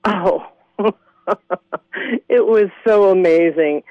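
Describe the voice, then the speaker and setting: Excited woman caller on a phone line. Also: on the low side, drawn-out words, no echo. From Colorado. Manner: gushing, excited, laughs. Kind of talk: phone-in chat.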